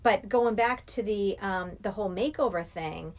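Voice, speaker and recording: A woman's voice: medium pitch, normal speed. A middle-aged woman in casual discussion of a film, reasoning things out in a reflective way.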